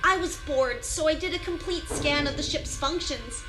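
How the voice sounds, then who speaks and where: A woman acts a young, sharp-witted spaceship newcomer in an audio drama. Her voice is extremely high-pitched. Music plays clearly beneath it. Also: moderate pace, close to an everyday voice, rough recording. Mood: matter-of-fact.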